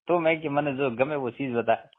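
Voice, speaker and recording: A man with a Marwari accent from western Rajasthan chatting casually on a private phone call. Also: medium pitch. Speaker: phone caller. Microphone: telephone line. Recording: tinny phone call recording.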